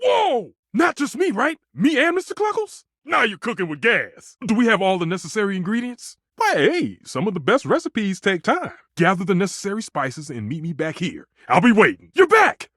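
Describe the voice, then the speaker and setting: A man's voice: sits high, huge pitch swings, moderate pace, hardly any pauses, a bit bright, no echo, clean studio sound, heavily acted. A man voicing a passionate, romantic stove who is a chef in a video game, with a theatrical, grandiose, exclamatory delivery. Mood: playful, encouraging. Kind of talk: video game character acting.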